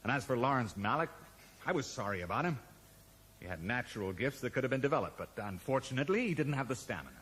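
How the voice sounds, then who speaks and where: An actor in a 1980s British radio drama playing a cornered, cold research scientist who rationalises smoothly and persuasively. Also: male voice, mid-range voice, very animated, fast talker, a bit bright. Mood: cool, self-justifying. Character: cornered, calculating male research scientist, cold and self-justifying. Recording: reverberant radio drama recording, faint noise.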